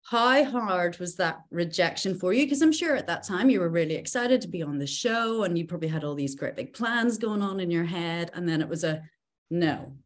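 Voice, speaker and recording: A middle-aged woman radio presenter chatting with a guest on a video call, enthusiastic and quick in bursts. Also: on the low side, expressive. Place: small indoor room.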